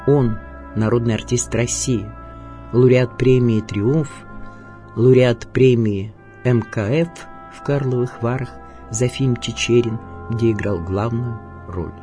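Male radio narrator, mellow tone, measured declamatory documentary read, faint music underneath. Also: medium pitch, steady tone.

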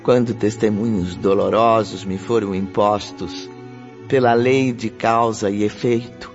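An actor playing a reflective, grateful spiritist medium, narrating solemnly in a radio drama. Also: male voice, fairly low pitch, steady tone, a little slow. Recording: dull lo-fi audio. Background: music.

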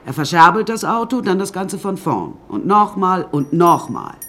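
An actor playing a wry, rambling storyteller who recounts a troubled childhood in a radio drama monologue, with hesitant asides. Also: male voice, high-pitched, sing-song, normal speed, a bit bright, exaggerated voice. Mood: scornful, wry.

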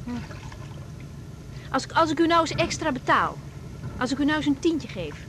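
High-voiced young Dutch woman doctor. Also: very animated, moderate pace, fairly bright tone, slight background noise. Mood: polite, coaxing. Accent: Netherlands Dutch. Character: young woman doctor, needy after a rotten day, coaxing. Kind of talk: naturalistic 1980s Dutch radio drama acting.

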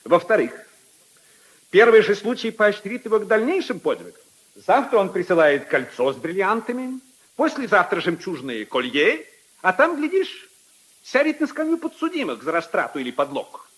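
An actor playing a haughty, indignant aristocratic prosecutor in a pompous, emphatic 1950s radio drama style. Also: male voice, high voice, big pitch swings, normal speed, fairly bright tone, slightly rough, full character voice, rough recording. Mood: indignant, scornful. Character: haughty, indignant aristocratic assistant prosecutor, brother-in-law of a prince. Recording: 1950s archive radio recording.

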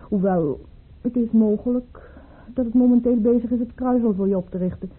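An actress playing a proud, coquettish, insistent baroness in an old-fashioned radio drama, arch and theatrical, with a husky, breathy voice. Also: female voice, on the high side, moderate pace. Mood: knowing, insistent. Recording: muffled, phone-like old radio recording.

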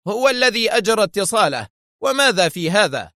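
A man's voice: high-pitched, big pitch swings, fairly quick, no room sound, studio quality, pitched above the performer's own voice, heavily acted. A man voicing a stern, angry male agency director in a story, tense and agitated. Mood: puzzled, agitated. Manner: sharp, agitated, protesting. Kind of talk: dramatised audio story dialogue.

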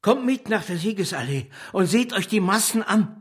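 A woman's voice: medium pitch, expressive, moderate pace, natural voice. An actress in a radio drama playing a fiery, uncompromising revolutionary woman with a vehement, declamatory manner. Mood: urgent.

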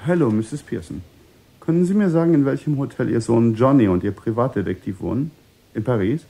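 A guarded, evasive man in a radio crime play. The voice is mellow and husky at a middling pitch, giving little away. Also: a little fast. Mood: guarded, insistent. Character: guarded, evasive American man caught up in a kidnapping. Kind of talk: radio drama acting.

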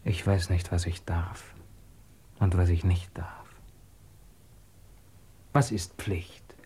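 Brooding, doubting scientist, dark mellow voice. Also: male voice, deep voice, steady tone, slow pace, husky, full character voice. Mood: anguished, searching. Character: brooding, conscience-torn male scientist, bitter and doubting. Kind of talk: German radio drama acting.

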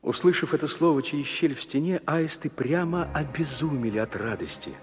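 A man narrating a fairy tale in an expressive, scripted storytelling style. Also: a little high, fairly quick, slightly rough. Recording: hall reverb over music.

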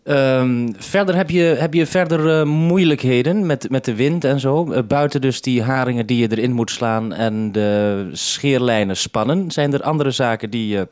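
A man with a medium-pitched voice runs a radio call from base in a dry, echo-free sound. He asks questions and gives practical instructions, pausing to find words and closing turns with formal procedure. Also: a little mellow. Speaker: radio programme maker at base station. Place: sheltered base station.

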